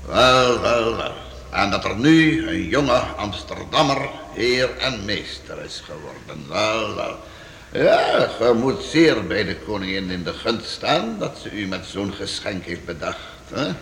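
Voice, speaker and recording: A man's voice: fairly low pitch, very animated, a little slow, gravelly, fairly bright tone, exaggerated voice. A man playing a jovial, fussy, wealthy Dutch seigneur in a radio play, effusive and given to repeating his words. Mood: admiring. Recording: echoey reverberant hall with background hiss.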